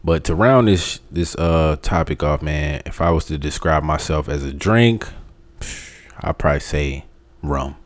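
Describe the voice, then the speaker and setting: A man in his late twenties in relaxed podcast chat, drawling easily and laughing often. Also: rumbling low, measured pace.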